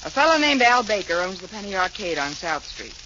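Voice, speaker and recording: A woman's voice: medium pitch, sing-song, a little fast, natural voice. Actress as a brash showgirl, hissy old radio. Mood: worried, confiding. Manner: blunt, insistent, tough-talking. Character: brash, hard-edged young female showgirl, desperate and determined. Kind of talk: 1940s radio drama acting. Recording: muffled, hissy 1940s radio recording.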